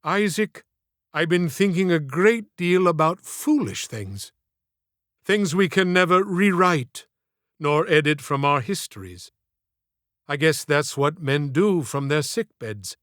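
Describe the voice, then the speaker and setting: A man's voice: a little high, fairly bright tone, no echo, studio quality. A man voicing an ailing middle-aged stage actor in an audiobook, speaking in an ornate, reflective, wistful way. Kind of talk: audiobook character dialogue.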